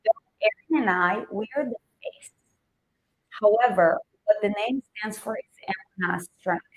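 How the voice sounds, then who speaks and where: An earnest woman, a Hebrew speaker, leads a motivational livestream as a wellness coach. Her voice sits at an ordinary middle pitch, and she often says a point twice to drive it home. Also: measured pace, rough recording. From Israel. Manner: earnest, repeats herself for emphasis.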